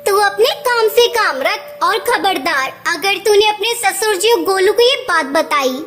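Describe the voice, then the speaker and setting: A woman's voice: extremely high pitch, expressive, measured pace, fairly bright tone, faint music behind, exaggerated voice. A woman voicing a miserly, cunning, bossy mother-in-law bird in a cartoon, with a scolding, haggling, emphatic delivery. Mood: sly, firm. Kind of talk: Hindi cartoon voice acting.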